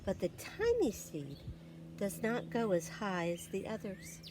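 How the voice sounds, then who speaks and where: A woman storyteller reading a picture book aloud to young children, expressive and dramatic, with deliberate pauses and emphasis. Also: mid-range voice, background noise. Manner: expressive, dramatic pauses, gasps and exclamations for effect.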